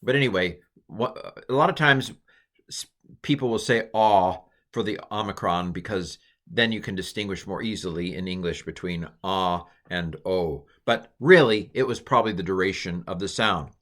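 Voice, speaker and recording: A man's voice: sits low, moderate pace, a bit bright. An American man teaching a recorded lesson in a casual, jokey, self-deprecating way, with lots of fillers. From United States. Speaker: Greek teacher.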